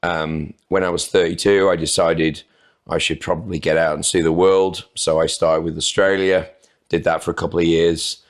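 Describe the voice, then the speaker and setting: A man's voice: deep voice, level tone, normal speed. A man in his forties from North Wales, talking unhurriedly and a little self-deprecatingly as a podcast guest. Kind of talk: casual interview chat.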